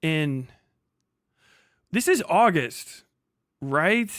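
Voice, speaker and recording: Indignant, high-pitched male streamer. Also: animated, extremely slow, fairly bright tone, no echo, clean studio sound. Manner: heavy swearing, indignant, mocking; repeats phrases for emphasis. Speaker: livestreamer. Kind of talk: combative off-the-cuff reaction commentary.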